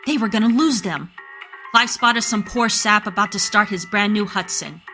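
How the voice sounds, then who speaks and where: A woman's voice: mid-range voice, moderate pace. A woman narrator reading a scripted dramatic story for a radio play. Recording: loud music under the voice. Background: loud music.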